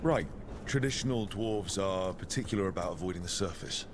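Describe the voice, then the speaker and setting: An actor playing a mild-mannered, wry hero in a fantasy video game, speaking in a measured way. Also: male voice, low voice, noisy background, lightly acted. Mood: wry, concerned. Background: game music.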